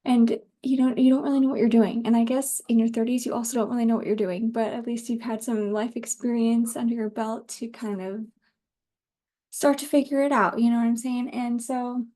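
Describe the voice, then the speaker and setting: A woman's voice: a little high, steady tone, fairly quick, warm tone. A woman in her thirties hosting a casual solo podcast, talking in an informal, rambling way. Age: 30s. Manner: informal, rambling, laughs easily, occasional swearing. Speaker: podcast host.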